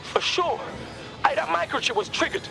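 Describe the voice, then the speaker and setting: An urgent male hacker in a video game. His voice is high, creaky and lively, with music clearly behind it. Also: normal speed, exaggerated voice.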